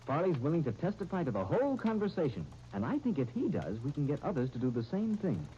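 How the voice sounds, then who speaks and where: A man's voice: a little high, huge pitch swings, fast, mellow. An actor playing an earnest, trusting and stubborn young man in a 1930s radio soap opera. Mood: earnest, businesslike. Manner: earnest, conversational. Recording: muffled, hissy 1939 radio disc recording.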